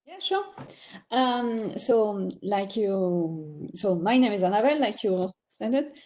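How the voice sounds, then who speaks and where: A woman's voice: mid-range voice, sing-song, takes it slowly, warm tone. A woman with a French accent, talking chattily as a guest on a virtual panel, often laughing between thoughts. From France. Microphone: video call.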